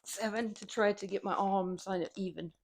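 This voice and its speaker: A woman's voice: mid-range voice, steady tone, moderate pace. Young woman, southern English accent, casual chatty streamer, rambling, laughs a lot. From southern England. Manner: chatty, rambling, laughs often, strains on reps. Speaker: fitness streamer. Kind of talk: casual chat while lifting weights.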